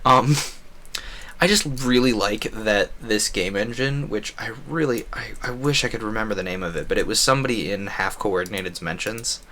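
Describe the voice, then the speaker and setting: A man's voice: on the low side, normal speed, sharp tone, slight background noise. A man giving casual, chatty, opinionated commentary as a game streamer, often wandering off on digressions.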